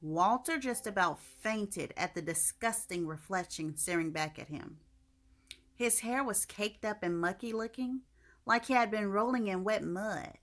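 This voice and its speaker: A woman reading her own short story aloud as an expressive storyteller. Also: medium pitch, a little slow.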